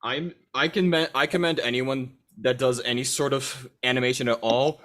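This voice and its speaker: A man with a medium-pitched voice talks casually in a group. His delivery is informal and conversational. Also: steady tone, normal speed, fairly bright tone.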